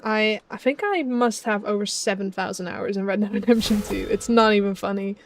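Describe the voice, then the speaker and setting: A woman's voice: mid-range voice, brisk, slight background noise. A young woman from the Netherlands with a Dutch accent tinged with British and Scottish sounds, chatting casually with her stream chat while playing, with many ums and restarts. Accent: Dutch with British and Scottish traces. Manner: chatty, frequent ums, reads chat aloud.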